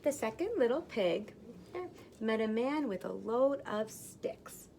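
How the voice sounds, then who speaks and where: A woman's voice: on the high side, animated, slow pace, gravelly, a little mellow. Female kindergarten teacher, simple explanatory read-aloud for small children, porch setting with light ambient noise. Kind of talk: read-aloud of a picture book for young children. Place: outdoors on a porch.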